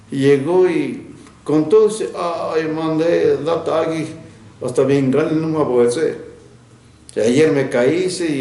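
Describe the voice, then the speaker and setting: An older man from Mexico, a retired teacher, recalling his childhood in an interview, reflective and often laughing, with halting restarts. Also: a little high, mellow, roomy sound. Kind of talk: recounting childhood memories.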